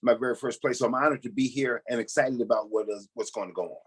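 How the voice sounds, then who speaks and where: A man's voice: medium pitch, fast, slightly rough. A middle-aged man, a pastor, giving earnest conversational answers as an interview guest. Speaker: pastor and stage actor being interviewed.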